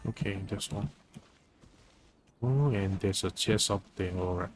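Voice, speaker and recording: A man's voice: lowish voice, a little slow, over music. A man streaming a game, giving casual commentary and chatting in muttered, half-finished thoughts. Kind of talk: casual live gameplay commentary chatting with viewers.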